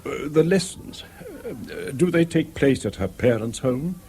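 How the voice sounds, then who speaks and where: An actor playing an embarrassed, tactful senior English official in a 1970s British radio drama, formal and halting in his speech. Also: medium pitch, big pitch swings, gravelly, warm tone, breathy edge, exaggerated voice. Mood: awkward.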